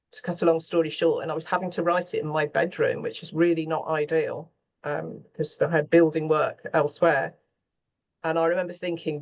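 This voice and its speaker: A woman talking conversationally and anecdotally as a scriptwriter on a panel. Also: low-pitched. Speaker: radio drama scriptwriter, panel guest.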